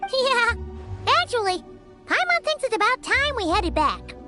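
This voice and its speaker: Casting: tiny excitable game fairy, squeaky, piercingly bright, very animated delivery. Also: female voice, measured pace, faint music behind, full character voice. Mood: chirpy. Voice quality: squeaky, childlike. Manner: exclamatory, refers to self in third person. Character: small floating fairy-like companion, childlike, excitable, chatty. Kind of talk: anime game voice acting.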